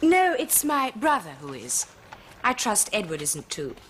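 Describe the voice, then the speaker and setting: A woman's voice: mid-range voice, big pitch swings, measured pace, slight background noise. A haughty upper-class young lady. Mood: cutting. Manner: crisp, cutting, condescending. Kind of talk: 1970s television period drama.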